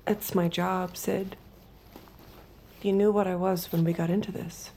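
Audio drama lead: detached young female escort, low and mellow, measured, intimate delivery. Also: little pitch movement, slight background noise. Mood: firm, dismissive. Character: guarded, detached young woman escort hiding her true self. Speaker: lead character and narrator. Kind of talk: intimate audio drama acting with inner narration.

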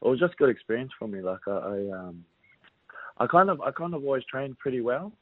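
A young man from New Zealand, a rugby league player, chatting as a guest in a relaxed, halting way with many fillers. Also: medium pitch, no room sound. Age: young adult. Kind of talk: casual interview chat. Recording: dry, phone-like narrow sound.

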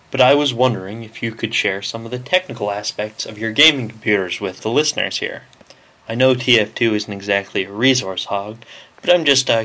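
A man with a slightly low but bright, forward voice records a message for a podcast. He speaks casually as a listener putting a question to the hosts. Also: low-quality recording.

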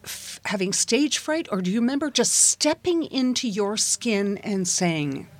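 A woman's voice: mid-range voice, animated, a little slow. A woman hosting an interview, reading a guest introduction and asking questions. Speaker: host. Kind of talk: radio interview.

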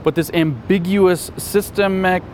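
A man speaking as a guest in a roundtable discussion, sardonic and rapid-fire. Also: high voice, steady tone, a little mellow, slight background noise. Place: seated at a table in a city.

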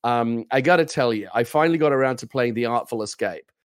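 A man co-hosting a casual gaming show, loud and enthusiastic, rambling with restarts. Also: mid-range voice, brisk, clear, resonant tone, dry recording, studio quality. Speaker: co-host. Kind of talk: casual gaming news chat.

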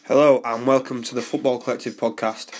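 A man's voice: medium pitch, a little fast, soft background music. Stuffy-nosed young male podcast host. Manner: relaxed, chatty, hesitant fillers. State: blocked nose from a cold. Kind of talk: podcast interview.

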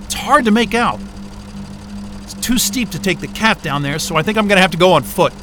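An actor playing a wry, foul-mouthed, caring explorer in a naturalistic science fiction audio drama, talking casually with muttered hesitations. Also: male voice, high voice, expressive, a little fast, background noise. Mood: curious, strained.

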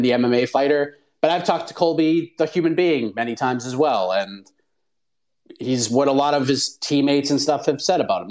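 A man's voice: medium pitch, darkish tone. A man hosting a casual talk show about MMA, rambling with many fillers, restarts and false starts. He has a young child. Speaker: MMA journalist and show host.

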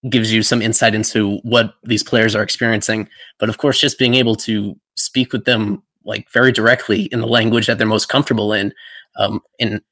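A man hosting a podcast, asking long interview questions in a halting way with frequent ums. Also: on the low side, a bit bright, dry recording. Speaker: podcast host.

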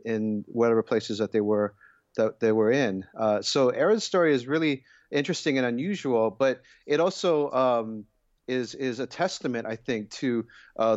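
A man commenting as a radio guest, speaking reflectively and haltingly. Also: mid-range voice, no echo, highs cut off. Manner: halting, many fillers and restarts, reflective. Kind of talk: radio panel discussion.

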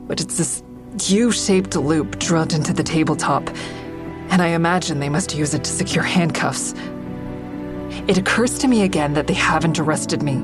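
Distraught woman narrator, low pitch, raw and bitter, audio drama, music underneath. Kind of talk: first-person dramatic narration and dialogue.

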